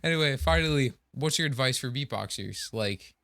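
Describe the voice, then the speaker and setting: A man's voice: mid-range voice, lively intonation, moderate pace, bright, forceful tone. Male podcast co-host, casual chat, informal, enthusiastic, mid-range voice.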